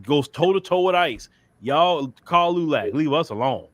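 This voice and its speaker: A man's voice: on the high side, expressive, measured pace, no echo. A man with a Southern Texas accent giving an emphatic, colloquial opinion monologue, often repeating phrases and acting out mock dialogue. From Port Arthur, Texas. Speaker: commentator.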